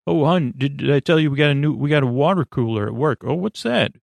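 A man voicing a folksy, chatty office worker gossiping at home in a creaky voice for a bedtime story. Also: fairly high pitch, animated, no echo. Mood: curious. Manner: homey, halting small talk with endearments.

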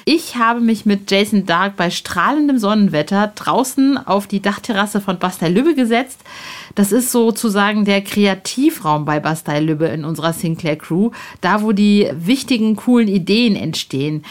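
A woman hosting a podcast, chatting in a lively, enthusiastic way with fillers. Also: medium pitch, normal speed. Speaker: podcast host. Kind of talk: casual podcast chat. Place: rooftop terrace outdoors, construction noise nearby.

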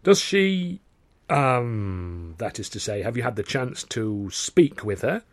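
A man's voice: medium pitch, sing-song, slow pace, dry recording. A man playing a blustering old-time theatre boss. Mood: probing. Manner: blustering, hesitant then snapping. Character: middle-aged male theatre impresario, jovial but touchy.

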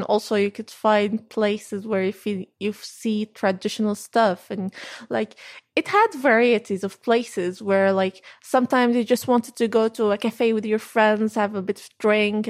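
Young woman from Syria, interview guest, halting speech full of fillers. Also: mid-range voice. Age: young adult. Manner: hesitant, many fillers and restarts. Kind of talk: casual interview answers.

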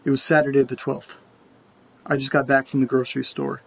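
A man's voice: medium pitch, little pitch movement, fairly quick, vocal fry, slightly rough. A man playing an anxious, earnest father who has just moved house, in a naturalistic audio drama. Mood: reflective. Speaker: narrator and lead character of audio drama. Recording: roomy echo, faint background noise.

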